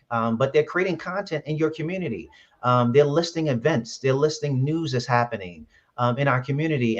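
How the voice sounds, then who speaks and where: Earnest Brooklyn-raised man, muffled sound. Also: medium pitch. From Brooklyn, New York. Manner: earnest, with frequent fillers and restarts. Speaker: app founder, guest. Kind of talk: podcast interview answers. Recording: dull lo-fi sound.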